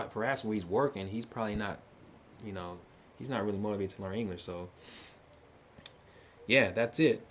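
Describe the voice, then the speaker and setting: A man's voice: lowish voice, slight background noise. A man telling a long, casual story to his viewers in a loose way, full of fillers and restarts. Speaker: vlogger answering viewer questions.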